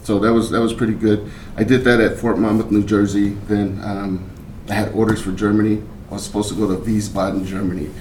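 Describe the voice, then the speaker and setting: A middle-aged man from Portland recounts his life in a relaxed interview, pausing often and restarting his sentences. His voice sits low and mellow. The mic is some way off in an echoey room. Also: slight background noise. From Portland, Oregon. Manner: unhurried, halting with fillers and restarts. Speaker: interview guest, veteran. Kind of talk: casual life-story interview. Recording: roomy, mic at a distance.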